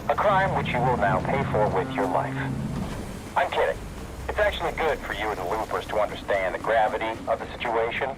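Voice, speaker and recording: An actor playing an anxious, wordy male scientist in a video game, with a halting, wry delivery and formal vocabulary. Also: lowish voice, expressive, background noise, natural voice. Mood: mock stern. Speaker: game character.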